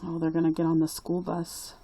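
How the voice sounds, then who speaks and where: Woman reading a picture book aloud, deliberate. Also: deep voice, monotone, dark tone, slight background noise, poor audio. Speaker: read-aloud storyteller.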